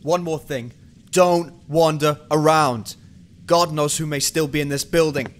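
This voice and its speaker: A man's voice: a little high, fairly even intonation, a little slow, slight background noise, close to an everyday voice. A man acting a hot-tempered, obsessive, weary police detective in an amateur radio drama, muttering and swearing. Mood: stern. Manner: brooding, muttering to himself, swearing. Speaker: voice actor in amateur radio play.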